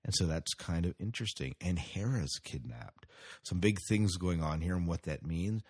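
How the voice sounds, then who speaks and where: A man's voice: deep voice, animated, normal speed, darkish tone, breathy edge. A man hosting a podcast with casual solo commentary, rambling and hesitant, often repeating his phrases. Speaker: podcast host.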